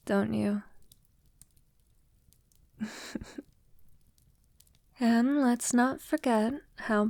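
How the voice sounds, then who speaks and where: A woman playing an affectionate centuries-old vampire lover in an intimate ASMR roleplay, speaking softly and formally, often slipping toward a breathy whisper. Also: mid-range voice, no room sound. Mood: teasing, fond. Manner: soft, tender, unhurried, often breathy near-whisper; formal, elegant wording.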